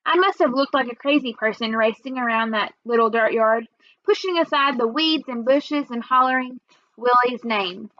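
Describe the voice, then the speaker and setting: Female storybook reader, lightly high voice, narrating for children on a close, slightly muffled recording. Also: normal speed, a bit bright, dry recording. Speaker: read-aloud reader.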